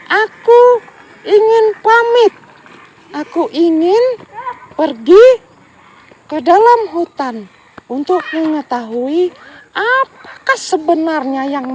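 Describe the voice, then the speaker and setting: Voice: very high-pitched, slight background noise, voice pushed up, exaggerated voice. A storyteller voicing a brave young grasshopper child who speaks earnestly and eagerly in a storybook tale. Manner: pleading, earnest.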